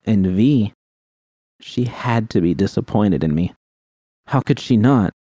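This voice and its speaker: A man reading a first-person fan story aloud in a quiet, subdued manner. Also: fairly low pitch, lively intonation, measured pace, no room sound, clean studio sound. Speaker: audiobook reader.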